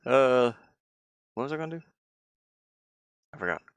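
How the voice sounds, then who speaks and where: A man's voice: medium pitch, lively intonation, moderate pace, smooth, dry recording. A man chatting casually on a livestream as he shows his own game in development, easygoing and rambling, often trailing off. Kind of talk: casual livestream chat while demoing a game.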